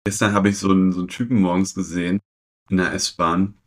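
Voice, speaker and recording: A man in his twenties chatting casually as a podcast co-host, telling stories in a halting, rambling way. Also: sits low, flat delivery. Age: 20s. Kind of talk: casual chat.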